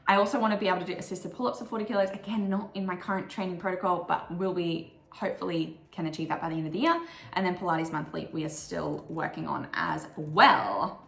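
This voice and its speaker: Croaky-voiced young Australian woman vlogging. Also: fairly low pitch, steady tone, fast, reverberant. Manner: chatty and upbeat, with frequent "like" fillers. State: croaky morning voice. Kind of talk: casual monthly goals vlog.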